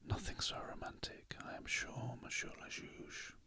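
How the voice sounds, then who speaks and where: A narrator voicing a grieving, dignified and reticent widow from a storybook in a breathy, formal whisper. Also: measured pace, bright, forceful tone, no room sound. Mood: gently dismissive.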